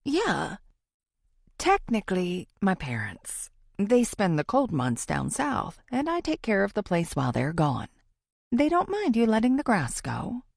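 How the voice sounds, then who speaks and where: A woman's voice: medium pitch, wildly swooping pitch, a little slow, creaky, breathy edge, no echo, clean studio sound, heavily acted. A woman voicing a charming, easygoing young man with a playful, teasing manner in an audiobook. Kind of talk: audiobook character dialogue.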